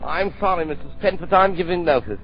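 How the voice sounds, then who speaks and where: A muffled old radio recording with music behind carries a long-serving butler. His fairly high voice is formal, deferential and uneasily apologetic. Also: fast talker. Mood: apologetic, uneasy. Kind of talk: 1930s-40s radio drama acting.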